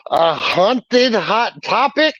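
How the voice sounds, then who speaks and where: A man's voice: high voice, sing-song, extremely slow, a bit bright, dry recording, highs cut off. A man co-hosting a wrestling podcast in casual banter, brash, boastful and full of cursing. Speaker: co-host.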